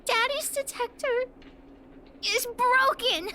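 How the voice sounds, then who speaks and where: A woman's voice: extremely high pitch, slow pace, over a noisy crowd. A woman voicing a bold, eager little girl in a video game, with childlike phrasing. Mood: downcast. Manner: childlike, speaks of herself in third person. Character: bold, eager little girl who idolizes her daddy. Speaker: game voice actor. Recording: game music behind.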